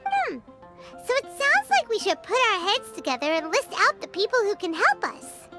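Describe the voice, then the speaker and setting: A woman's voice: extremely high pitch, huge pitch swings, normal speed, bright, forceful tone, exaggerated voice. A woman voicing a perky, cheeky little floating companion girl in an English-language video game, chatty and exclamatory. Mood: curious, thoughtful. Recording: music behind the voice.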